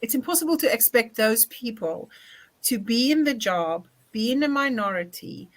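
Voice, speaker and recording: A middle-aged woman with a South African accent answering earnestly and in a measured, emphatic way as a panelist. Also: on the high side, lively intonation. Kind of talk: thoughtful panel discussion answer.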